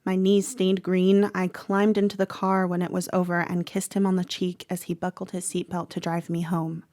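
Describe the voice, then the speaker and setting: A woman playing a troubled, protective teenage girl who narrates a horror audio drama in a measured, dramatic way. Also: lowish voice, level tone, a little mellow, lightly acted. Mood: brooding, uneasy. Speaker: actor narrating. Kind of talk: first-person horror audio drama monologue.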